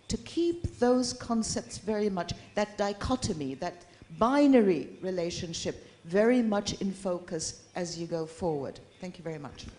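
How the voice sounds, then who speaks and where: A woman from Iraq speaks at a policy forum in a fairly low voice. She is measured and orderly, numbering her points and weighing one idea against another. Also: dark tone. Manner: measured, structured, listing points. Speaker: forum participant. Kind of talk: prepared conference remarks.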